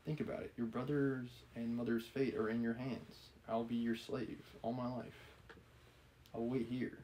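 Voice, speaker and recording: An obsessive gentleman villain from a novel, read by a man, insistent and menacing as he persuades. The voice is mid-pitched, with clear noise on the recording. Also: little pitch movement, normal speed, lightly acted, rough recording. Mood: calm menace. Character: obsessive, menacing gentleman pleading with and cornering a woman. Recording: clearly audible background noise.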